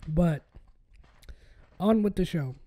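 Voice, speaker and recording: A man hosting his own podcast, hoarse and a little raspy after being sick, rambling casually with lots of fillers. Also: sits high, lively intonation, slow pace, warm tone. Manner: rambling with many fillers and restarts. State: hoarse from a recent illness. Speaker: podcast host. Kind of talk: casual solo podcast talk.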